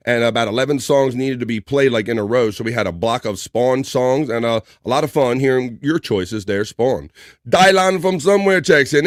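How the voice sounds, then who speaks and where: A man's voice: medium pitch, noisy background. A man hosting a live stream, casually reading out viewer comments and joking with the audience. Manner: upbeat, joking, shouts out viewers by name. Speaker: host.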